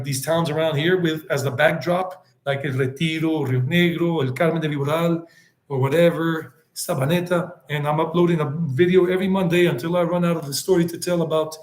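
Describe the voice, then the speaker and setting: A man's voice: a little high, reverberant. A man hosting a casual live stream, chatting in a rambling way, answering viewer comments and dropping in Spanish words. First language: English.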